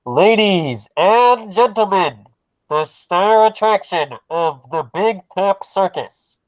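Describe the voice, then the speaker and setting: A woman's voice: medium pitch, animated, slow, no echo, poor audio. A woman playing a grand circus ringmaster making a showy announcement in a radio play.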